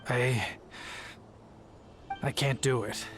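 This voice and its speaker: A man's voice: mid-range voice, steady tone, drawn-out words, music in the background. An actor playing a beleaguered family man and reluctant informant in a video game, earnest and protesting. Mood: pleading.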